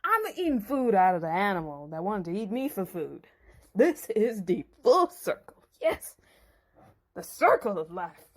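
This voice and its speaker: A woman's voice: mid-range voice, very animated, a little slow. A woman playing a brash, boastful young strongman in a Bible story dramatization, with swaggering, slangy delivery. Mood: amazed, delighted. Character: brash, cocky young strongman Samson, boastful and hot-headed.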